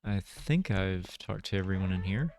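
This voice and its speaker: A man's voice: low voice, animated, normal speed, darkish tone, a little hiss or hum. A man giving casual gameplay commentary, thinking aloud in a hesitant, musing way. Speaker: video game player and commentator. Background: music.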